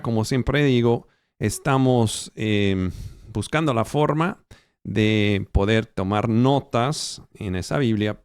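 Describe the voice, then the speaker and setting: A male pastor preaching a Bible teaching in Spanish, which is not his first language. He speaks slowly and haltingly, with fillers and self-corrections. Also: mid-range voice, clear, resonant tone, no echo, clean studio sound. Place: church, recorded for an online channel.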